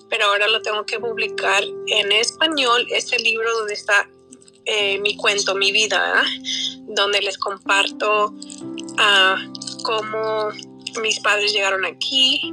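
A woman in her forties from Texas, a guest talking about her life in a halting way, with many fillers and restarts. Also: mid-range voice, low-quality recording. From McAllen, Texas, USA. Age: 40s. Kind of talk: casual interview talk.

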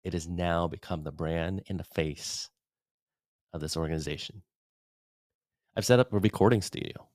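A man's voice: low voice, normal speed, long pauses, darkish tone, no echo. A man hosting his own podcast, recording a casual, rambling, upbeat update alone at his desk on a studio mic in a home office. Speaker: podcast host giving an update. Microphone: studio dynamic mic at desk.

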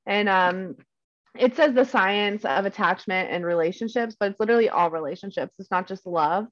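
A woman's voice: medium pitch, normal speed, lo-fi sound. A young woman chatting earnestly and eagerly, often saying "um" and "like". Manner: earnest and enthusiastic, with many "um"s and "like"s. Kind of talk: casual podcast chat.

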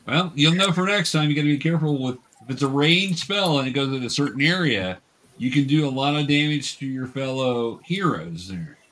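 Male host and game master, mid-pitched voice, casual and patient explainer with halting delivery. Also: animated, fairly bright tone. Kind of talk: casual explaining while running a tabletop game. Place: remote video call.

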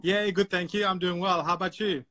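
A man's voice: sits high, fairly even intonation, brisk, pushed and bright. A man in his thirties, born in Sri Lanka, telling his story as a podcast guest over a video call, chatty and often repeating words. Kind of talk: casual storytelling.

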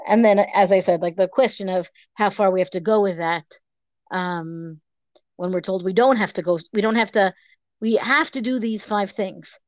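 A woman's voice: medium pitch, dry recording. A woman co-teaching a study session, reflecting aloud with hesitant restarts. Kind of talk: study discussion.

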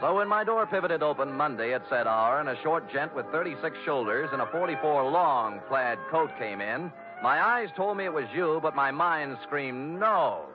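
A man's voice: fairly high pitch, lively intonation, normal speed, natural voice. An actor playing a streetwise private detective in 1950s radio style, an American man with a wry, slangy, wisecracking manner. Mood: mock-dramatic. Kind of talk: 1950s radio detective acting, narration and dialogue. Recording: dull vintage radio recording, music behind.

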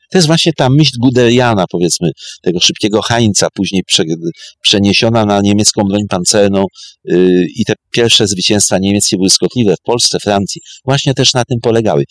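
Male historian guest, lively intonation, emphatic storyteller, dry recording. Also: mid-range voice, no room sound. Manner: emphatic, short repeated phrases.

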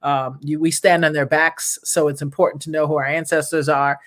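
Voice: mid-range voice, little pitch movement, moderate pace. A host interviewing a guest on a live show, affirming her often and reading out viewer comments. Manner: affirming, frequent backchannels. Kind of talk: livestream interview.

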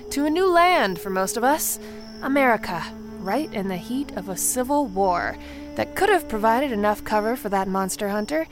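A hot-headed female monster, acted by a woman for radio drama. Her voice is fairly high and very expressive, sarcastic and vengeful, over faint music. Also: natural voice. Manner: sarcastic, emphatic. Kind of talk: radio drama acting with narration.

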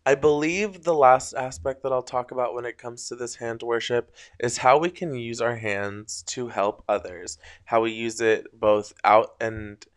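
A man's voice: mid-range voice, unhurried, hardly any pauses, clear, resonant tone. A young man whose first language is Spanish hosts a casual solo podcast late at night, rambling about spiritual ideas and often tripping over his words. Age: young adult. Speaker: podcast host.

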